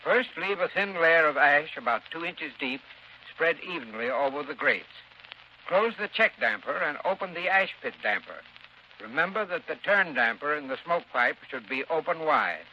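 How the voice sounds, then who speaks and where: Very bright, formal 1930s radio advice man. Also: on the high side, animated, normal speed. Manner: formal, instructional, clearly enunciated. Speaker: heating expert in a sponsor spot. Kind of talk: scripted 1930s radio advertising talk. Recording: muffled 1930s radio broadcast, faint noise.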